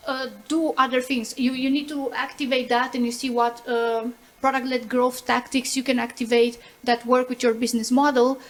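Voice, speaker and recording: A woman panelist explaining things at a conference discussion, often checking in with short rhetorical tag questions. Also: high voice. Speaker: panelist, product executive.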